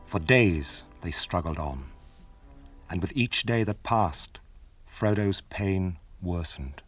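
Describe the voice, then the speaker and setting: A man playing a sober storyteller, narrating a fantasy radio drama in a measured, deliberate British accent. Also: sits low, expressive, fairly bright tone, breathy edge, faint music behind, exaggerated voice. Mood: sober, ominous.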